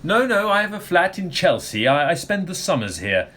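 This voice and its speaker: A man's voice: high voice, big pitch swings, normal speed, pushed and bright, full character voice. A man in a comedy radio play, playing a genteel, slightly pompous older-generation character who talks in a polite, hesitant way. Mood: self-important. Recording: faint background noise.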